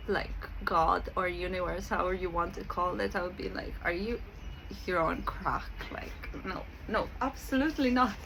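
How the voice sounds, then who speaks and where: A woman's voice: medium pitch, a bit bright, slight background noise, poor audio. A woman from Serbia with a Serbian accent, talking casually to camera as a spiritual coach, chatty with many fillers and frequent laughs.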